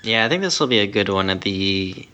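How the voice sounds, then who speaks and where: A man's voice: fairly low pitch, expressive, fairly quick, bright, forceful tone. A man chatting casually as a podcast co-host, giving his impressions in a review. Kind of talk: casual anime review chat.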